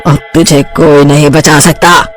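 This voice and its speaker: A woman voicing a vengeful headless female witch in a cartoon, threatening and gloating in a lowered voice. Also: flat delivery, measured pace, faint music behind.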